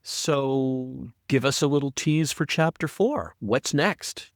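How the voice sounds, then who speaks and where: A man's voice: mid-range voice, takes it slowly, no room sound. A man co-hosting a casual podcast discussion as its audio producer, with dry, teasing banter.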